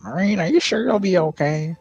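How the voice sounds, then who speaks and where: A man voicing a laid-back, friendly island local from a game, casual and halting. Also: high voice, lively intonation, vocal fry, darkish tone, breathy edge, soft background music, pitched above the performer's own voice, heavily acted. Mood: hesitant, casual. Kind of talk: amateur game fandub.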